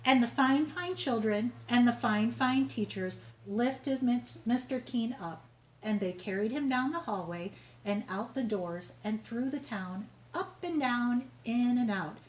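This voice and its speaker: A woman's voice: fairly high pitch, a little slow, low-quality recording. A woman reading a children's story aloud, expressively and with emphatic, dramatic phrasing. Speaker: storybook reader.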